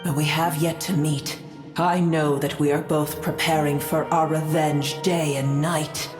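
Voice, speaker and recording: Deep-voiced, haughty ancient being, echoing. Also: female voice, little pitch movement. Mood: brooding, grave. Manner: haughty, formal, measured. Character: proud, cold, ancient female being with a grudge. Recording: echoing voice over clear music.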